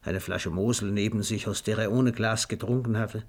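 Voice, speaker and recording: A man's voice: on the low side, fairly even intonation, normal speed. A man narrating a scripted literary radio drama in a precise, dry, wry manner. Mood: measured, wry.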